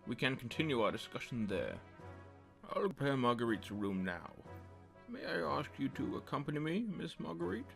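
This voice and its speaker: A man's voice: medium pitch, very animated, moderate pace, creaky, fairly bright tone, faint music behind. A man playing a kindly, deferential retainer who speaks formally and politely in a radio drama. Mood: gentle, soothing. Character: courteous, kindly male retainer, gentle and deferential. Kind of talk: fan radio drama acting.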